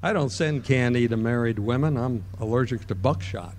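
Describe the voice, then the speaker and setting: A man's voice: mid-range voice, normal speed, a little mellow, close to an everyday voice. Man as an old-time radio announcer, chummy, salesy, breezy. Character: genial radio announcer doing a sponsor plug. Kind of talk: live stage radio play reading. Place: ballroom stage before an audience.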